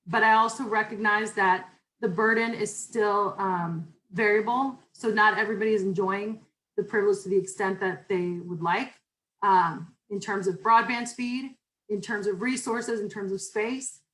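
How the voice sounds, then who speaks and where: A woman instructor with a loud voice, talking informally through a syllabus over a video call, with many fillers and restarts. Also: medium pitch, fairly even intonation, moderate pace, low-quality recording. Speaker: university instructor. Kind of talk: online class welcome and syllabus talk.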